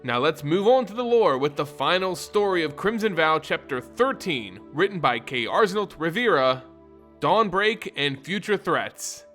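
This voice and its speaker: Animated, bright-voiced male storyteller over music. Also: on the high side, moderate pace. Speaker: lore video narrator and channel host. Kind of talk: dramatic scripted story narration.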